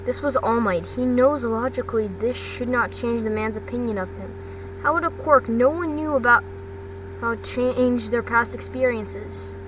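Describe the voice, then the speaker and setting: Halting woman narrator, distant noisy recording. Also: on the high side, a bit bright. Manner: halting reading with frequent stumbles. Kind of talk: fan fiction narration, read aloud. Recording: distant mic, clearly noisy background.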